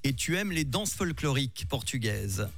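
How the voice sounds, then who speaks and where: A man's voice: mid-range voice, big pitch swings, normal speed, gravelly, a bit bright, soft background music. A man hosting a radio quiz game, playful and laughing often. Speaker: radio host. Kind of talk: lively radio game show.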